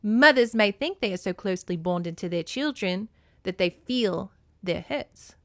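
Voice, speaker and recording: A woman's voice: medium pitch, expressive, measured pace, no echo, studio quality. A woman voicing a proud, scornful queen goddess in a storybook with a put-on Australian accent, haughty and pointed.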